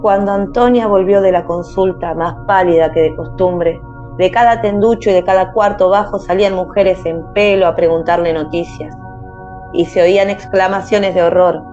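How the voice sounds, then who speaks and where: Woman, story narrator, medium pitch, dramatized radio reading, dull recording, background music. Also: fairly even intonation, normal speed, warm tone. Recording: slightly muffled, music underneath.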